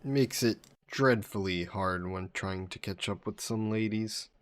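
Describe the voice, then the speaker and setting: A man voicing a vain sea monster who poses as a mannered gentleman in a roleplay audio drama, speaking in suave, formal phrases. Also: on the low side, a little slow, no room sound, natural voice. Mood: playful, flirty. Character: flirty, vain sea monster posing as a gentleman traveler, turning menacing.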